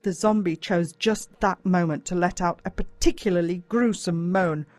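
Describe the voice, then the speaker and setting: A woman with an Australian accent reads a first-person story as a dramatic, expressive audiobook narrator. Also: lowish voice, moderate pace, dry recording.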